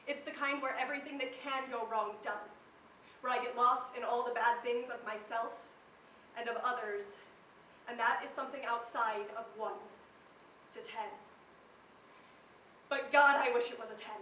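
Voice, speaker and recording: High-voiced teenage girl performing slam poetry, echoey room. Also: steady tone, normal speed, long pauses. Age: teenager, seventeen. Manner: impassioned, emphatic, dramatic delivery with swells and hushes. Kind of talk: spoken-word slam poetry recital. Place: live poetry slam venue, indoors. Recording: echoey room, distant mic, faint noise.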